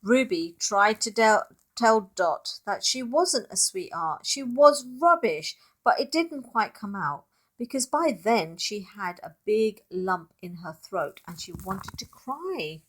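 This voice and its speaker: A woman reads a storybook aloud for children at an unhurried pace. Her pitch moves up and down a great deal for expression. She breaks off to point out pictures and put questions to her young listeners. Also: lively intonation. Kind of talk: expressive read-aloud narration for children with asides.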